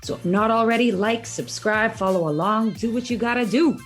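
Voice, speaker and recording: A woman co-hosting a podcast, delivering the scripted show opening with energetic promo delivery. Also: fairly high pitch, fairly quick, soft background music. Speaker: podcast co-host.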